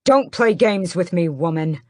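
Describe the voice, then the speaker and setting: A woman voicing a defiant young male vampire prince who yells and growls accusations. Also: lowish voice, expressive, unhurried, no echo, studio quality. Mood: accusing. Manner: forceful, yelling, growling threats.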